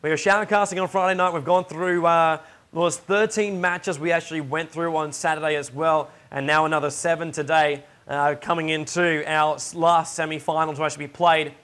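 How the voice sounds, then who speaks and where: Raspy-voiced young male esports caster. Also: fairly high pitch, flat delivery, fairly quick, fairly bright tone. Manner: chatty and joking, with many fillers. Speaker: esports shoutcaster. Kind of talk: casual solo commentary during a game pause. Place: home studio.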